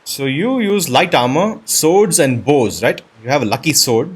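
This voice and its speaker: A man's voice: a little high, lively intonation, a little slow, slightly rough. A man giving casual let's-play commentary as a gaming host, thinking aloud in short questions. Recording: music behind the voice. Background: game music.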